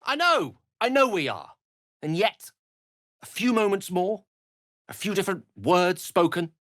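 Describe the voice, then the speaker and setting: A man's voice: high voice, sing-song, unhurried, fairly bright tone, no echo, studio quality, heavily acted. An actor playing a wry, scholarly middle-aged wizard in a fantasy video game, articulate and theatrical in his phrasing. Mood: emotional, pleading. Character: eloquent, wry, scholarly male wizard adventurer.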